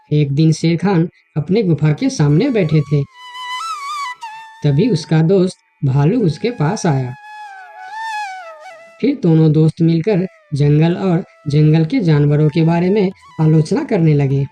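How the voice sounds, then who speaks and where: A woman tells a children's fable as a scripted narrator. She takes her time at an unhurried pace, with faint music underneath. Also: low voice, dull audio. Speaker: story narrator.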